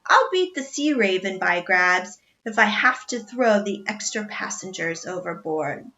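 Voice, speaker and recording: A woman voicing a gruff, bellowing old sea captain who growls and roars. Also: mid-range voice, expressive, a little slow, pushed and bright, muffled recording. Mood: blustering. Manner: roaring, growling.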